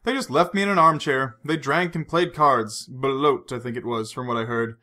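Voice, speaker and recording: A man's voice: mid-range voice, steady tone, moderate pace, pushed and bright, natural voice. A man reading aloud and voicing a shaken, apologetic young woman with an earnest, well-spoken manner. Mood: recounting.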